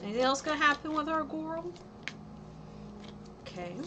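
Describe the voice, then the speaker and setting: A woman's voice: high voice, lively intonation, moderate pace, background noise. A woman in her thirties giving a casual livestream tarot reading, rambling with lots of ums and likes. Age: 30s. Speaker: tarot reader and streamer.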